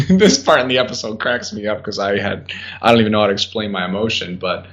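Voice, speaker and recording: A man with a very low voice talks casually and chattily as an interview guest, scattering "like" through his sentences. The recording is dull and slightly lo-fi. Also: a little fast, a bit bright. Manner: chatty, uses "like" often. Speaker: podcast guest, groom recalling his wedding. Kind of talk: casual conversational recollection.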